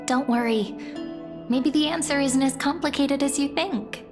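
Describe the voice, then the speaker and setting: An actress playing a gentle, hopeful young woman, a devoted companion in a video game who speaks earnestly and encouragingly with a light teasing touch. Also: high-pitched, a little slow, over music. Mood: earnest, reassuring. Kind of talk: scripted video game dialogue.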